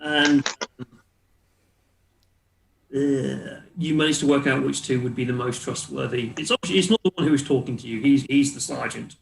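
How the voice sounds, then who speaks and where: A man's voice: medium pitch, moderate pace, vocal fry, long pauses, roomy sound, low-quality recording. A man speaking casually as game master, explaining rules and narrating scenes in a tabletop role-playing game.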